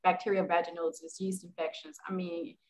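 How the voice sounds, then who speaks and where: A woman's voice: on the low side, level tone, a little fast, low-quality recording. A woman hosting an interview over a video call, asking questions and agreeing supportively. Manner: supportive, frequent brief agreements. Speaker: interview host.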